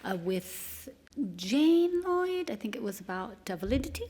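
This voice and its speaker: A woman's voice: on the high side, sing-song, unhurried, warm tone. A woman from Malta talking informally as a participant on a panel, representing her institution.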